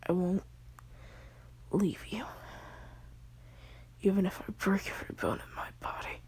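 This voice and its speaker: A woman voicing a devoted young heroine with an angelic form, speaking in a gentle whisper. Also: mid-range voice, steady tone, a little slow, slightly rough. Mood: determined.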